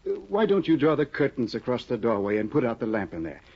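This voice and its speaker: Fussy, doting scholar husband. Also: male voice, mid-range voice, very animated, quick pace, breathy edge. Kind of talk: 1950 radio drama acting. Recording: dull lo-fi 1950 radio broadcast.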